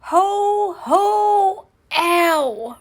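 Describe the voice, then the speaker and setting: Storybook old man, played by a woman: achy, weary, forcing jolliness, pitched up with strain. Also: lively intonation, extremely slow, full character voice. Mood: strained, pained.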